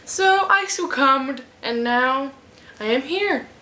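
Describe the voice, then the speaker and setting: Chatty woman vlogger, slightly high voice, rambling book-review talk to camera at home. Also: slow pace. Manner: chatty, rambling, animated asides. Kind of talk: casual vlog review. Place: at home in front of a fan. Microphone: camera mic.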